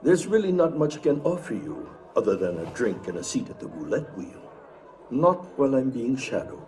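A man acting a cagey older crime boss who owns a casino in a video game, formal, measured and politely evasive. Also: mid-range voice, sing-song, dark tone, a little hiss or hum, close to an everyday voice.

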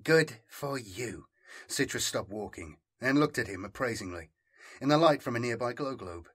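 A man's voice: medium pitch, lively intonation, a little fast, a bit bright, breathy edge. A man reading scripted dramatic fiction as an audiobook narrator.

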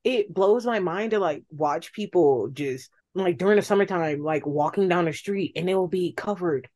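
A woman's voice: on the low side, moderate pace, a little mellow. An American woman in casual chat as a podcast guest, telling stories and acting out reactions.

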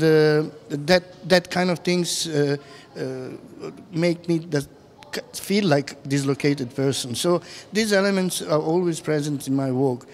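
A man in his fifties from former Yugoslavia, speaking as an artist in an on-camera interview in a gallery room, halting and full of fillers, into a handheld mic. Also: on the high side, a little hiss or hum. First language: Serbian. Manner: halting, many fillers and restarts. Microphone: handheld mic held close.

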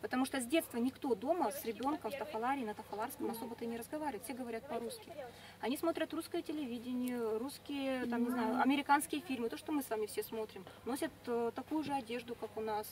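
A woman's voice: fairly high pitch, a crowd in the background. A young woman guide explaining things fluently to a tour group inside a large tent, recorded from a distant camera. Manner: fast, fluent, informative, with fillers.

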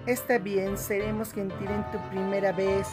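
Small lecherous game critter, woman performer, cutesy and coaxing, slow delivery, catchword tic, music underneath. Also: medium pitch, flat delivery, natural voice. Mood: coaxing, excited. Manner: repeats a nonsense catchword, coaxing. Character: small yellow cat-like creature, cutesy, lecherous, creepy. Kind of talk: fan-dub game character acting.

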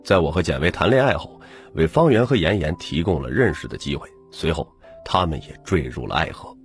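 A man with a husky voice narrating a novel in dramatic first-person storytelling as an audiobook reader. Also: extremely low pitch, lively intonation, fairly quick. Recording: music bed under the narration. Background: background music.

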